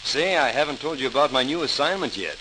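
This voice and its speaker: An actor playing a cheerful, earnest young Navy lieutenant in a 1940s radio drama. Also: male voice, medium pitch, expressive, fast talker, natural voice. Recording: hissy, crackling 1940s radio recording.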